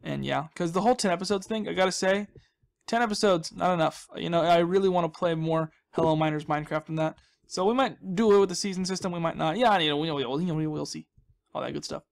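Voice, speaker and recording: Male gaming host, slightly high register. Chatty, jokey, self-deprecating, loose off-the-cuff play commentary. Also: quick pace, gravelly. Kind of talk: casual rambling gameplay commentary.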